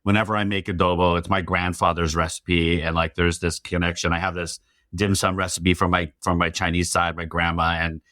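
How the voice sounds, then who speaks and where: Male host, middle-aged, somewhat deep voice, relaxed conversational style, very little room echo. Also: fairly quick, studio quality. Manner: informal, playful. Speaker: podcast host. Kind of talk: casual, conversational interview chat.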